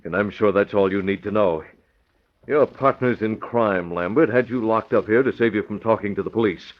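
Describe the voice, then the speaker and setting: Radio drama lead: male actor as shrewd masked vigilante, low commanding voice, clipped and decisive, dull vintage recording. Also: normal speed, lightly acted. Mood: menacing, commanding. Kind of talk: old-time radio drama acting.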